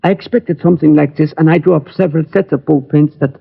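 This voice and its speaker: An actor playing an anxious, secretive older gunsmith who speaks formally and hesitantly, in 1940s radio drama style. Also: male voice, on the high side, fairly even intonation, quick pace, vocal fry, slightly rough, full character voice. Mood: anxious, confiding. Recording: muffled old radio recording.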